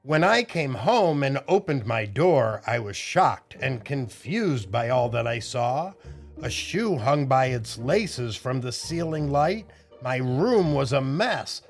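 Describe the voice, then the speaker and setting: Animated, unhurried male children's storyteller. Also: mid-range voice, creaky, faint music behind. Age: older. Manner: playful, exaggerated, theatrical delivery. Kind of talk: animated kids' show hosting, joke telling and read-aloud.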